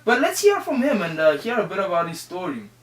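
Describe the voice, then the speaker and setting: A man's voice: sits high, a little fast, far from the mic. South African man hosting in an echoey room. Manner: excitable, informal, peppering talk with "man" and "like". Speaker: show host and interviewer. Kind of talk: casual radio interview chat. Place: studio. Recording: echoey room, off-mic, background noise.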